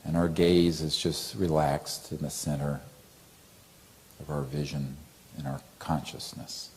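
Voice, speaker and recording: Male meditation teacher: very deep, soft-edged mellow voice, gentle and soothing instructional delivery. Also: flat delivery, measured pace, slight background noise.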